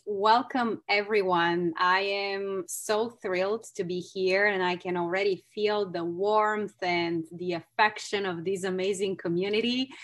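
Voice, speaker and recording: A woman's voice: mid-range voice, a bit bright. A woman from Bulgaria with a Bulgarian accent, a clinical psychologist giving an effusive online training talk over a video call, with non-native phrasing.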